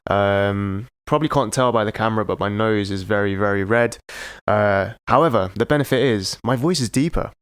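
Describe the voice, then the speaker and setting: A man's voice: on the low side, moderate pace, hardly any pauses, fairly bright tone, clear, resonant tone. A man co-hosting a podcast while ill with a cold, talking casually and hesitantly, with many fillers and restarts. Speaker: podcast co-host. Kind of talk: casual chat.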